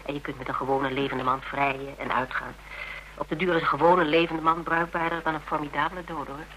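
A woman playing a practical, worldly character in a radio drama, speaking in a measured, wry, matter-of-fact way. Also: low-pitched, flat delivery, a bit bright, slight background noise, low-quality recording. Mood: calm, matter-of-fact. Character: Tera, cool practical worldly woman giving frank advice. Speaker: actor in a radio play. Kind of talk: Dutch radio drama acting, conversational.

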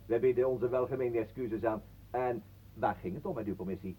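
An actor playing a jovial, intrusive talker in a radio play, flowery, pompous and mock-courteous. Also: male voice, medium pitch, fairly quick, mellow, husky, natural voice. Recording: muffled, phone-like old radio recording.

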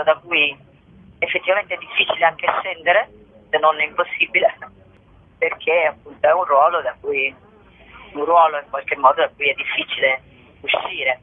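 A woman speaking as a guest in a radio interview, explaining her work in a reflective, halting way with frequent rephrasing. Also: fairly low pitch. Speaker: playwright and actress, interview guest. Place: remote phone call to a radio show. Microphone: telephone. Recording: tinny, band-limited telephone line.

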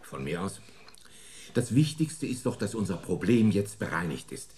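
A man's voice: deep voice, big pitch swings, moderate pace. A cool, self-assured security chief in a radio drama. He talks tersely and stays unflustered, though his intonation moves around a lot. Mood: defiant, cool. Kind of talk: German radio play acting.

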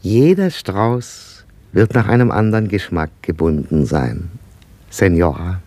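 An actor playing a knowing, melancholy flower seller in a radio play, wry, poetic and discreet. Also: male voice, low voice, a little slow, darkish tone, no echo. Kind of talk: 1960s German radio play acting.

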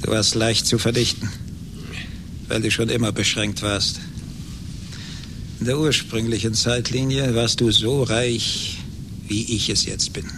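An actor in a German radio play playing a gloating, vengeful rich man, condescending and deliberate. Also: steady tone, close to an everyday voice. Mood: smug, gloating. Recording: noisy 1980s radio recording with rumble.

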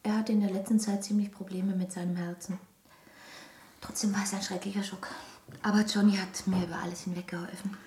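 An actress playing an anxious, trusting young wife in a German radio drama, speaking politely and a little hesitantly. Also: female voice, mid-range voice, flat delivery, a little fast, warm tone, roomy sound, a little hiss or hum, lightly acted. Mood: uneasy.

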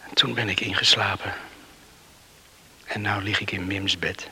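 A very breathy, low-pitched young man in a radio play, exhausted and safe in bed after a rescue. He speaks slowly and dreamily to himself, often dropping to a half-whisper. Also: fairly even intonation, pushed and bright, heavily acted. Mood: relieved, content. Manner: slow, dreamy, musing to himself. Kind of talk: radio drama monologue.

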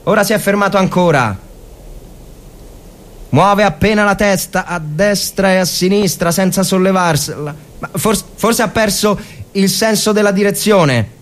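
A male actor reads fiction aloud in a theatrical, suspenseful way. His voice is somewhat high for a man, and the delivery is that of a dramatic literary reading. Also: a little slow. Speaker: actor reading a short story.

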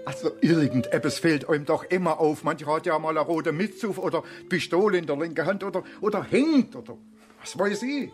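An actor playing a grumbling provincial police inspector in a radio play, colloquial and sardonic. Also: male voice, a little high, brisk, faint music behind, close to an everyday voice. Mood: probing, exasperated. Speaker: actor in radio crime drama.

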